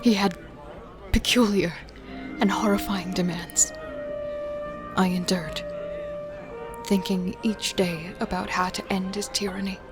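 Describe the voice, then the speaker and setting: An actress playing a guarded, quietly confident healer in a fantasy audio drama, with a deliberate, hushed delivery. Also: female voice, medium pitch, fairly even intonation, lightly acted. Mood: somber. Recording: music playing behind.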